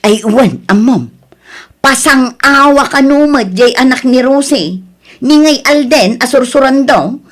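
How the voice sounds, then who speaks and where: A woman's voice: high-pitched, a little slow. A woman with an Ilocano accent playing a boastful, scolding mother who mocks the neighbours' children in an audio drama. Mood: boastful, mocking. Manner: haughty, scolding, gossipy.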